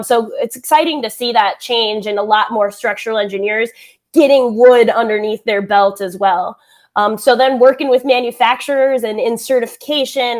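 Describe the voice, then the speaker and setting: An American woman in her thirties answering interview questions informally on a video call, with an animated, smiling manner. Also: fairly high pitch. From Wisconsin, United States. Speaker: expert guest. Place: indoor room at home, video call.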